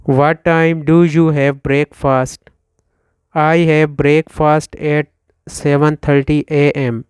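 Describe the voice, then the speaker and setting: A man in his thirties from Pakistan with a Pakistani accent and Urdu as his first language, teaching English by slowly reading questions and answers and repeating each one for learners. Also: fairly high pitch, flat delivery, a little mellow, clean tone, no room sound. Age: 30s. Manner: slow, deliberate, repeats each line for learners. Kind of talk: slow scripted question-and-answer drill.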